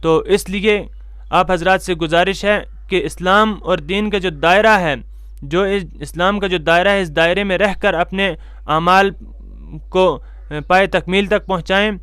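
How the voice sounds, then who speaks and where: A male cleric delivers religious guidance in a high-pitched, clear voice. His manner is formal and instructive, stressing points by repeating them. The sound is close and dry, with very little room in it. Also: fairly even intonation, a little slow, fairly bright tone, dry recording. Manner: formal, instructive, emphatic repetition. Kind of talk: religious lecture to camera.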